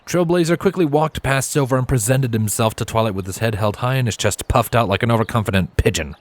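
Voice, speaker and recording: A man reading a story aloud as narrator, in an expressive scripted storytelling style. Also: medium pitch, fast talker, dry recording.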